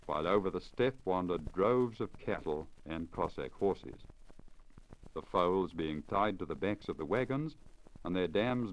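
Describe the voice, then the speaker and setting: A man reading a literary story in a measured, formal, expressive manner, in the style of 1950 radio narration. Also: sits low. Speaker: story reader. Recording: crackly, dull 1950 lacquer disc recording.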